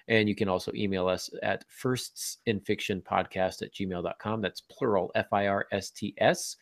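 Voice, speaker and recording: A man's voice: on the low side, gravelly, no echo, clean studio sound. A man hosting a podcast in a casual, chatty way, sounding congested and a little under the weather. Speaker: podcast host.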